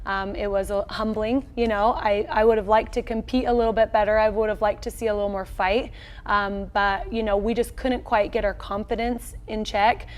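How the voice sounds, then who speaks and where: An American woman in her thirties with a medium-pitched voice. She speaks as a coach being interviewed, in an upbeat, colloquial and straightforward way. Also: little pitch movement, normal speed. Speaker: volleyball head coach, interview guest. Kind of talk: conversational sports interview. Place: small indoor locker-room set.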